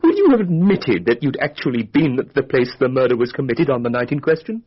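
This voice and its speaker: Posh young british suspect in a radio mystery, defensive, really animated pitch, bit muffled sound. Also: male voice, brisk, slightly rough. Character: young aristocratic murder suspect, defensive and flippant. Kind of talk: radio drama acting. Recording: dull, band-limited radio drama recording.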